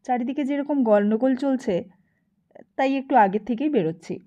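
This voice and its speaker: A woman's voice: high voice, normal speed, mellow, dull audio, lightly acted. A woman voicing a mild, dutiful husband who speaks politely and with restraint in an audiobook. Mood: calm, polite.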